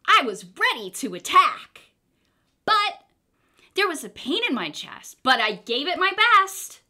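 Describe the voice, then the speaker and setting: A woman reading a children's book aloud in an expressive, theatrical storytelling style. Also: sits high. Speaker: storybook reader.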